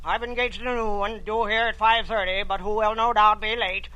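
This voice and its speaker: A man's voice: high-pitched, level tone, normal speed, bright, forceful tone, low-quality recording. An actor playing a bitter, sickly rich old man with a weak heart, who talks in a curt, disdainful, imperious way, in 1940s radio drama style.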